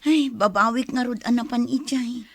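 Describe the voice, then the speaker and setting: An actress playing a poor, devoted wife, earnest and pleading, in a radio drama. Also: female voice, on the high side, normal speed, no room sound. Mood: worried, earnest.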